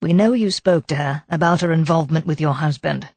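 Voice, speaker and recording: A calm, methodical male chief inspector, as a woman reader voices him, asking questions in a steady, probing tone. The voice sits low and slightly husky in a dry close recording. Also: no room sound. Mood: pressing. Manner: measured, probing.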